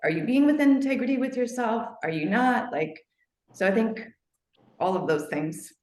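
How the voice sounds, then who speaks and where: A woman's voice: on the high side, moderate pace, darkish tone. A woman taking part in a group session, speaking reflectively with many "like" fillers. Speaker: session participant. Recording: echoey room.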